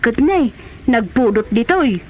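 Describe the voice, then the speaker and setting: A woman's voice: fairly high pitch, very animated, unhurried, highs cut off, background noise. A woman playing a watchful older relative at home in a comedy drama, with an Ilocano accent. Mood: inquisitive, instructing.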